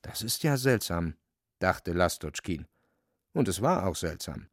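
A man narrating a literary radio play in a measured, wry manner. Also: deep voice, big pitch swings, no room sound, studio quality. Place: studio, very dry.

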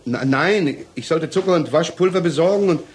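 An actor in a 1960s radio crime drama playing a bewildered, distraught husband under accusation, pleading haltingly. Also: male voice, a little high, expressive, slight background noise, natural voice. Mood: anxious, defensive. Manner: halting, pleading, repeats himself.

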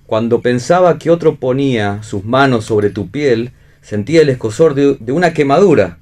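A man's voice: mid-range voice, expressive, normal speed, darkish tone, natural voice. Actor doing a moody heartbroken drifter guy, argentine, mid voice, dramatic radio play. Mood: bitter, resigned. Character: wounded, disillusioned vagabond lover, brooding. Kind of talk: read radio theatre.

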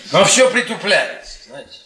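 Needling, high-pitched bitter professor, echoey stage. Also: male voice, sing-song, moderate pace, pushed and bright, exaggerated voice. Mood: weary, wry. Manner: theatrical, needling, repeats words teasingly. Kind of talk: Soviet stage radio drama acting. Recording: echoey theatre stage with audience sounds.

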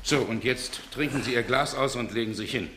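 An actor playing a stern, indignant gentleman in a 1960s radio drama, with a commanding, scolding way of talking. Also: mid-range voice, a little fast, close to an everyday voice. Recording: noisy old 1960s radio recording.

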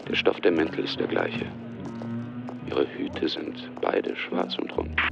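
A man's voice: a bass voice, very animated, takes it slowly, gravelly, dull audio, over music. A man narrating a radio drama from a script, precise and matter-of-fact.

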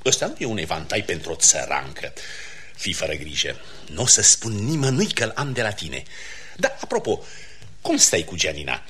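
A pompous, swooping, raspy count. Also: male voice, low voice, wildly swooping pitch, fairly bright tone, full character voice. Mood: boastful. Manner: pompous, condescending, theatrical. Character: pompous, miserly count who boasts of his patronage. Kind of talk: classic stage comedy radio acting.

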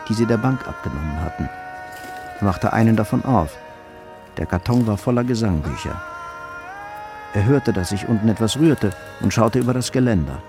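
An actor playing the sober narrator of a radio crime drama, telling events in a measured, factual way. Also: male voice, on the low side, natural voice.

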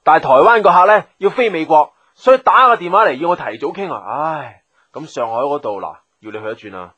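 A fussing, breezy office boss in a radio drama, speaking with a Hong Kong Cantonese accent. His pitch swings up and down in lively fashion as he rattles off requests. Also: quick pace, dry recording. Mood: harried, friendly. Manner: breezy, exasperated, sighing. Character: brisk, chatty, fussing male office boss.

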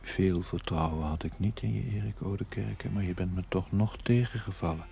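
A man playing a stern, wry confidant in a television period drama, speaking in a hushed, dry, reproachful manner. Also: low-pitched, moderate pace, gravelly. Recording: faintly noisy 1970s TV soundtrack.

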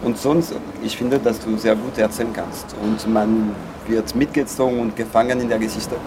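Halting man in his thirties, noisy surroundings. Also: on the low side, fairly even intonation. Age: 30s. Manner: halting, searching for words. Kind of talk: casual interview answers. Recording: noisy ambience, vehicle-like hum.

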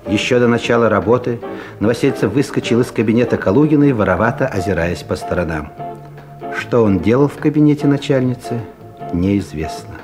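A man narrating a comic radio play in a deliberate, wry storytelling manner. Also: medium pitch, lively intonation. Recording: music playing behind the voice.

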